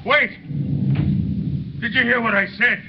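A man acting a desperate, exhausted and wary ex-convict in a 1930s radio drama, emphatic and halting. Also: very high-pitched, fairly even intonation. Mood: desperate, defiant. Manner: emphatic, halting, repeats himself. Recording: dull, noisy 1930s radio recording.

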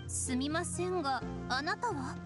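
A woman voicing a gentle, thoughtful young noblewoman in a Japanese anime game, with courteous, formal, soft-spoken delivery. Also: high voice, expressive, unhurried, loud music behind the voice. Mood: polite, inquiring.

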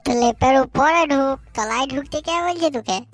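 A man playing a bossy, teasing young friend in a comic cartoon, using colloquial rural western West Bengal dialect and a pitched-up voice. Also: normal speed, fairly bright tone, soft background music. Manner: colloquial, mocking, scolding.

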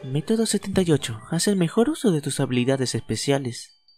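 A man voicing a playful, teasing boy ghost in a fan dub, swinging from low murmurs to loud lines. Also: sing-song, brisk, slightly rough. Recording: faint anime music behind.

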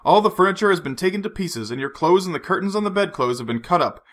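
A man reading a storybook, voicing a suave, menacing male villain who mocks his captive with unhurried threats. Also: high voice, animated, fairly bright tone, voice pushed up. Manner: silky, mocking, unhurried threats.

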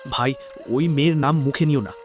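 An earnest young man in a cartoon horror story played by a male actor. His voice is mid-pitched and urgent, explaining things gravely over noticeable noise. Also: steady tone, a little fast, close to an everyday voice. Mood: wary urgent.

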